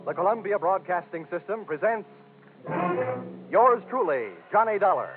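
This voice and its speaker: A tinny, phone-like vintage broadcast with loud music. An American man with a high, very lively voice delivers a scripted announcer's introduction in 1940s radio fashion. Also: moderate pace.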